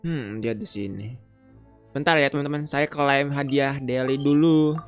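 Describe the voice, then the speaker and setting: A man's voice: mid-range voice, normal speed, faint music behind. An Indonesian man giving casual live game commentary as a streamer, informal and slangy, mixing in English words. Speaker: gaming streamer.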